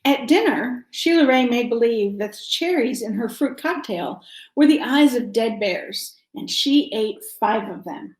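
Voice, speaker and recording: A woman's voice: fairly high pitch, animated, unhurried. A woman storyteller talking simply and directly to children and reading them a picture book. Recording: roomy, echoing home-style sound.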